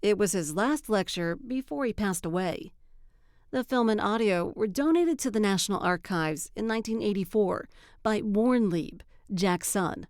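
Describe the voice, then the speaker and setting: A woman's voice: mid-range voice, expressive, normal speed, darkish tone, no echo, studio quality. A woman reading scripted, informational narration to introduce an archival film.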